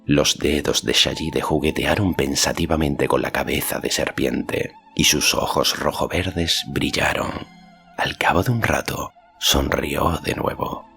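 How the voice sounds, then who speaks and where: A man's voice: a bass voice, unhurried, vocal fry, fairly bright tone, faint music behind. A man reading a fantasy novel aloud as scripted audiobook narration.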